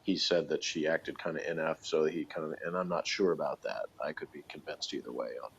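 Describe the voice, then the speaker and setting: A man's voice: extremely low pitch, a little fast, a little hiss or hum. A man in casual analytical chat, talking in a hesitant, tentative way as he thinks aloud. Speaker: guest reviewer discussing TV characters. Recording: dull lo-fi voice recording.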